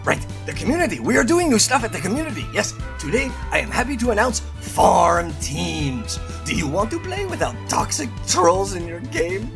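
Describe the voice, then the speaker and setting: A man's voice: high voice, big pitch swings, normal speed, hardly any pauses, music in the background. A man hosting a channel, reading aloud and tossing in casual asides in a playful, theatrical way. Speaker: channel host.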